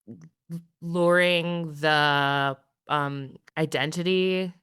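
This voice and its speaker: A woman in her thirties, a journalist guest talking fast and wryly with lots of fillers in a casual interview. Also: low-pitched, pushed and bright, smooth, no room sound, clean studio sound. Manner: fast, filler-heavy, wry.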